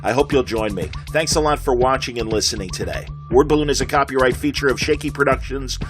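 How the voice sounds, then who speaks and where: A man's voice: medium pitch, fast, music in the background. A middle-aged American man hosting a podcast, chatting casually and enthusiastically as an interviewer. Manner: enthusiastic, fannish.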